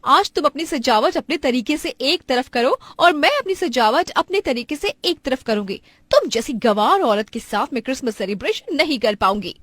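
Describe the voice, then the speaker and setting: Female narrator as vain young cartoon daughter-in-law, high and loud, haughty, indignant, very dry sound. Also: very animated, moderate pace, few pauses, no room sound, exaggerated voice. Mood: angry, scornful. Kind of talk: Hindi cartoon family drama.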